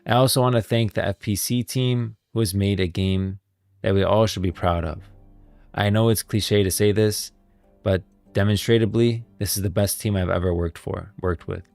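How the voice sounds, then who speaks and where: A man with a low, creaky voice talks casually as a livestream host. His delivery is informal and halting, often repeating phrases as he thinks aloud. The sound is close and dry, with faint music underneath. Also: steady tone, darkish tone, no echo. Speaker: streamer, host of a gaming lore stream. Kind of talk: casual livestream chat, reading posts aloud.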